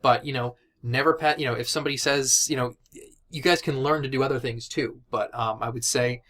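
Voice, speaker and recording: Casual male course instructor, medium pitch. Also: steady tone, brisk, a bit bright. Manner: informal and conversational, addresses listeners as guys, often checks with "right?". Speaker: instructor of a sales and digital marketing course.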